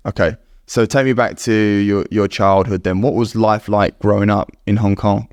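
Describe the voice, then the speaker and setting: A man's voice: fairly low pitch, steady tone, moderate pace, darkish tone, dry recording, studio quality. A man hosting a podcast, reading a scripted intro and then asking encouraging interview questions. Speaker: podcast host.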